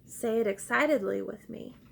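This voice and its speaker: High-voiced woman reading aloud to children. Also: moderate pace, gravelly, husky. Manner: encouraging, expressive, addresses young children with simple questions. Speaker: teacher reading a picture book aloud. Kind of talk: interactive children's read-aloud with call-and-response prompts.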